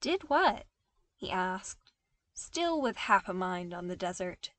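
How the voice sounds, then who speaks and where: A woman reading scripted fiction aloud as an expressive audiobook-style narrator. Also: on the low side, slow, no echo. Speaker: podfic narrator.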